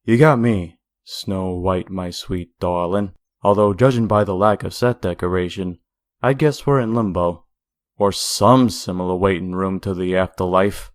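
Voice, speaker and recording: A man's voice: sits low, steady tone, no echo, clean studio sound. A man playing a sardonic dead male villain in a comic dub, wry and mocking. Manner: wry, mocking, drawn-out endearments.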